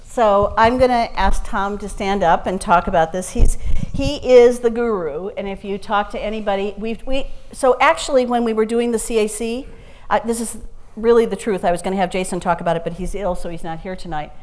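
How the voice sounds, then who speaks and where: A woman's voice: mid-range voice, steady tone, a little fast. A woman school superintendent introducing speakers at a public meeting in a chatty, informal, anecdotal way. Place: city meeting room.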